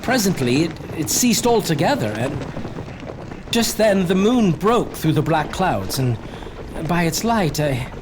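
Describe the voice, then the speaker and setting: A man's voice: on the high side, sing-song. An actor playing an anxious young English lawyer's clerk recounting his journal in a hesitant, foreboding audio drama style. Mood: tense dread. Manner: hesitant, foreboding, with halting repetitions. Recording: clear background noise, carriage ambience.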